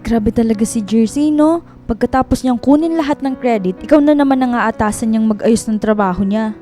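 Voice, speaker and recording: Actress doing loyal blunt office bestie, high soft-toned voice, pushy n casual, radio drama w faint music. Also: female voice, brisk, close to an everyday voice. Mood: indignant. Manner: urging, indignant, informal. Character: loyal, outspoken female coworker and friend.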